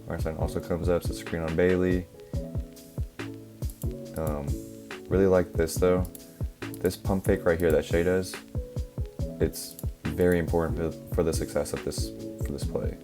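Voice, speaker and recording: A young man, a little hoarse from a cough, casually breaking down basketball plays with lots of fillers. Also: low-pitched, moderate pace, long pauses. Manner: relaxed, filler-heavy, explaining step by step. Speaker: basketball analysis creator. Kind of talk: casual play-by-play film breakdown. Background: background music.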